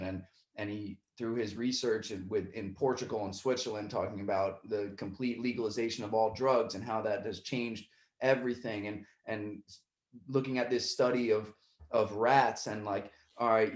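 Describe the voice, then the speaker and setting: A man's voice: lowish voice, roomy sound. A man hosting a podcast, talking casually in long rambling stretches with many restarts, and getting worked up when he retells a story. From Puerto Rican family side. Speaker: podcast host.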